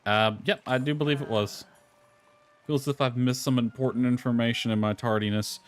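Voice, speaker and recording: A man's voice: medium pitch, fairly even intonation, fairly quick. A man giving casual gameplay commentary, muttering to himself in a laid-back way and reading bits of game dialogue aloud. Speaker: gaming video creator. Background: soft lo-fi music.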